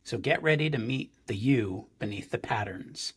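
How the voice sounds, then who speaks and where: Male podcast host, earnest, gravelly and husky, many ums and restarts. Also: mid-range voice, lively intonation, normal speed. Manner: earnest, with frequent fillers and restarts. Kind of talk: interview podcast.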